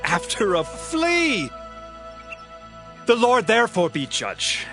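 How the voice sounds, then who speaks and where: A man playing an impassioned, loyal warrior pleading with his king in a dramatized scripture recording, in drawn-out declamatory archaic phrases. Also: sits high, wildly swooping pitch, fairly bright tone, faint music behind, full character voice. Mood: aggrieved, solemn.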